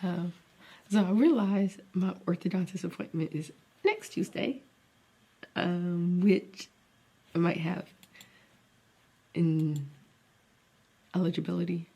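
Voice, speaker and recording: A woman's voice: on the low side, slow pace, vocal fry, pauses often, mellow, husky. A young woman, a teacher, talking casually to camera in a rambling, think-aloud way, sounding congested from a cold. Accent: Southern US. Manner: rambling, thinking aloud, drops to near-whisper. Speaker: special education teacher and vlogger. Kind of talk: casual vlog talk to camera.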